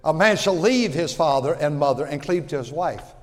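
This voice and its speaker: A man in his sixties preaching a sermon in a folksy way, repeating words and swinging from loud to quiet. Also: fairly high pitch, animated, moderate pace, big-room sound. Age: 60s. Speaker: pastor.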